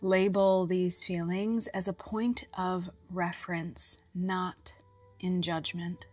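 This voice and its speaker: Very slow, soft-voiced woman guiding relaxation. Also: fairly low pitch, flat delivery. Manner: soothing, measured, with long pauses. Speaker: guided meditation narrator. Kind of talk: scripted hypnobirthing relaxation guidance. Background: music.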